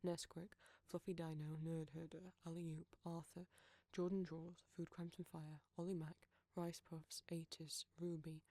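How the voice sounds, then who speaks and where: Creaky, even-toned British creator. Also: mid-range voice, a little slow, hardly any pauses, no room sound. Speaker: audio roleplay creator. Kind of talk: scripted outro, reading thanks and credits.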